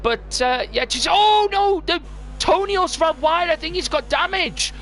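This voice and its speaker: A man commentating a live sim race, excitable and rushed, repeating himself as he chases the action and losing his voice. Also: very high voice. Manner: excitable, rapid, words tumbling and repeated.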